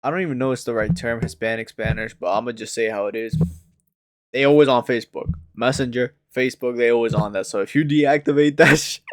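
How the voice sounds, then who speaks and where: Animated man reacting, dry close sound. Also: fast, no room sound. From United States. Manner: slangy and animated, breaks into outraged high rises. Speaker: streamer reacting to a crime show. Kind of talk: casual reaction commentary.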